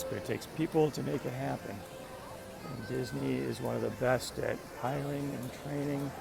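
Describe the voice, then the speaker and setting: A friendly man with a gravelly voice hosts a casual livestream while walking outdoors, commenting and greeting viewers by name. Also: mid-range voice. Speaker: livestream host. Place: outdoors in a busy theme park. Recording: outdoor park with loud background music. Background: background music.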